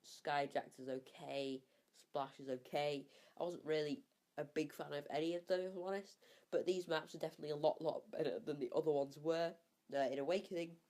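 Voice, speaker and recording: A man's voice: a little high, fairly even intonation, fairly quick, a little mellow. A young man with a cold and a slightly sore throat, giving a casual, rambling game review full of fillers and repeated words. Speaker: gaming YouTuber reviewing maps.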